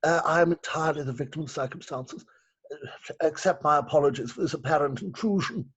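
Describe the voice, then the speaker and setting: A man playing a pompous, apologetic gentleman in a staged reading of a Victorian farce, formal and hesitant. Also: fairly high pitch, a little fast, breathy edge, dull audio. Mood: flustered.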